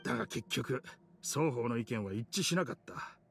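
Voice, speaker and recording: An actor playing a rough-spoken, loyal young gentleman in a Japanese video game, blunt and emotive. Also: medium pitch, lively intonation, moderate pace, a little hiss or hum. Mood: serious explaining. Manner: emotive, blunt casual speech.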